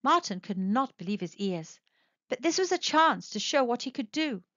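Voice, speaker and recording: A woman narrating a children's storybook aloud with expressive, animated storytelling. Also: fairly high pitch, normal speed, no echo. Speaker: storybook reader. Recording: dry, dull lo-fi sound.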